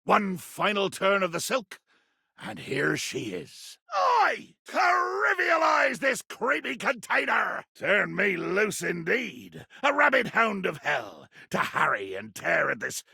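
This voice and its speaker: A man playing a pompous, belligerent sea captain in a video game, with a raspy voice and a theatrical, grandiloquent delivery. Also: high voice, wildly swooping pitch, slow, bright, forceful tone, no echo, studio quality, exaggerated voice. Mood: ferocious. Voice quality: gravelly, raspy.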